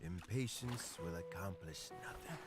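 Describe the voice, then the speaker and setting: Slow, deep old mentor. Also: male voice, expressive. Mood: wise, patient. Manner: slow, sage, gently advising. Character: kindly old man, patient fatherly mentor. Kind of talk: video game voice acting. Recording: game audio with prominent music.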